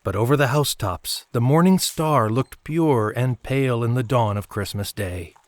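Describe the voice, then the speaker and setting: A man narrating a story from a script in a measured storytelling manner. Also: medium pitch, dry recording. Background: music.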